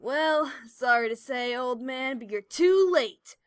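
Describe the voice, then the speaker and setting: A woman's voice: high-pitched, expressive, takes it slowly, no room sound. A woman voicing a brash, stubborn female pegasus adventurer in an audio drama, with a cocky, defensive delivery. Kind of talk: cartoon-like audio drama character voice.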